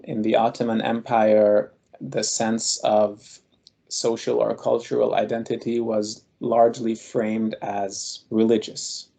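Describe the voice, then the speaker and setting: A man speaking as a guest scholar in an interview, explaining at length in a measured, thoughtful, lecturing way. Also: lowish voice, gravelly, warm tone.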